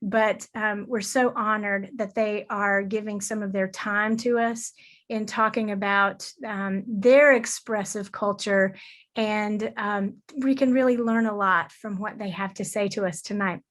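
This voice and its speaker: A woman's voice: mid-range voice, fairly even intonation, measured pace, a bit bright. A woman hosting an event, giving semi-formal welcome remarks in an earnest, appreciative manner. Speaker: event host.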